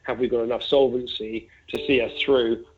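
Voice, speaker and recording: A man's voice: medium pitch, little pitch movement, normal speed, a little hiss or hum. Man on a tinny phone-like line. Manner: discursive, thinks aloud with asides and examples. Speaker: investment fund manager being interviewed. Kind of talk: conversational market commentary.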